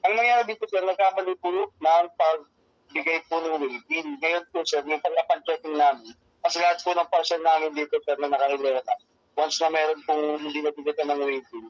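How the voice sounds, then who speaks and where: A Filipino man with a distinctly high voice is speaking over a dull, dry phone connection. He works in courier customer service and explains himself in a deferential, repetitive way, with frequent polite forms of address. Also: steady tone, a little fast, no room sound. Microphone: telephone. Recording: muffled lo-fi phone call, dry.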